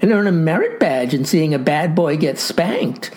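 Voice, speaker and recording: A woman's voice: deep voice, expressive, normal speed, a little mellow. A woman voicing a stern, self-righteous male farmer who speaks in verbose, formal phrases in a comic story. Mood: gleeful showmanship.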